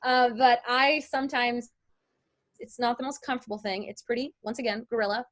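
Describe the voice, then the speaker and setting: A woman giving an informal lesson as a voice-over artist, chatty and explanatory with emphatic points. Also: medium pitch. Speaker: voice-over artist teaching a class. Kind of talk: informal instructional talk. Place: indoors, online video call.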